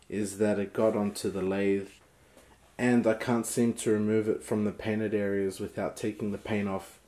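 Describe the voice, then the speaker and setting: A young man with a stuffy, congested voice, explaining his hobby work to camera in a relaxed, casual vlog. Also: mid-range voice, reverberant. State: congested from a cold. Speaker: hobby watchmaker vlogger. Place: home workshop, indoors.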